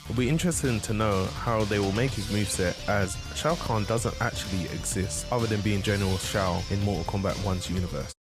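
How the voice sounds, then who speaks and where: Male gaming commentator, scripted explainer read, lowish voice with music clearly behind. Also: fairly quick, few pauses. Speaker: video game commentator.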